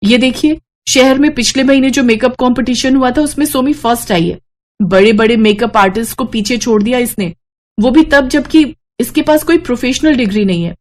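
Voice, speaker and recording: A woman voicing a kind, patient young daughter-in-law in a cartoon story, gentle and encouraging. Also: fairly high pitch, fairly quick, close to an everyday voice. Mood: earnest. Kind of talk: Hindi animated moral-story voice acting.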